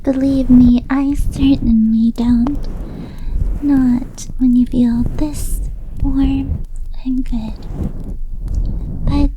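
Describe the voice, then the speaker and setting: A woman playing a shy, devoted young yandere maid in roleplay ASMR, cutesy and deferential, slipping into breathy whispers. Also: high voice, level tone, drawn-out words, pauses often, mellow, a little hiss or hum, low-quality recording. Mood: sweetly clingy.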